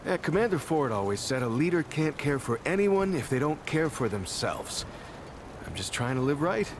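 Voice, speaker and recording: An actor playing an earnest, bashful settlement mayor in a video game, talking in a hesitant, stammering, naturalistic way over ambient sound. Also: male voice, mid-range voice, very animated, normal speed, noisy background, natural voice. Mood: modest.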